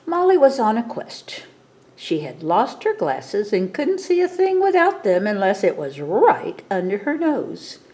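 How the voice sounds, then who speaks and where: A woman reading a picture book aloud to children as a storytime reader, in a dramatic, expressive manner. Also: medium pitch.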